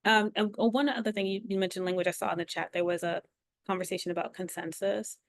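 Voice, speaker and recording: A woman's voice: medium pitch, fairly even intonation, fast talker, no echo, clean studio sound. A woman facilitating a video-call discussion, thanking speakers and inviting others to share.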